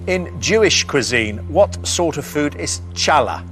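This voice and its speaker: A man's voice: a little high, very animated, fairly bright tone, faint music behind. Man hosting a TV quiz show. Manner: friendly, bantering, reads questions with measured pauses. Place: TV studio.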